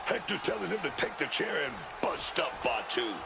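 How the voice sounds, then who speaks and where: A high, gravelly male wrestling color commentator in a video game. He reacts with alarm and heart, his pitch jumping around over loud arena noise. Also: sing-song, moderate pace, a loud crowd, heavily acted. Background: noisy wrestling arena.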